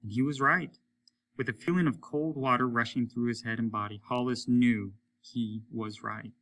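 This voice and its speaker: A man reading a story aloud as dramatic narration, deliberate and expressive. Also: medium pitch. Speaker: story reader, teacher.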